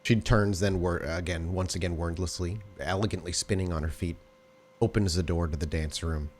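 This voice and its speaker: Deep voiced guy game mastering a tabletop rpg, improvised scene narration, bit of background noise. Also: steady tone, moderate pace, darkish tone.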